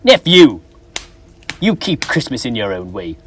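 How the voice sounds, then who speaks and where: A young man acting as a miserly, bitter old man in a school stage play, gruff and contemptuous. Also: medium pitch, big pitch swings, measured pace, a bit bright, slight background noise. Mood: scornful. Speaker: stage actor.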